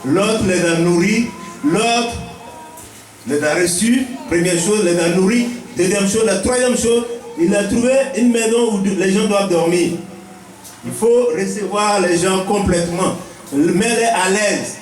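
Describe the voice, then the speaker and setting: A man's voice: high voice, a little slow, soft background music. A man with an Ivorian accent giving a preaching-style welcome speech as a village chief, teaching point by point and repeating key phrases. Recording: echoey, picked up from a distance.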